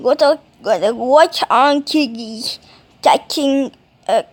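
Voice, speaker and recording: A woman talking casually in a halting, rambling way, with mumbled phrases, as in an improvised home video. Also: high-pitched.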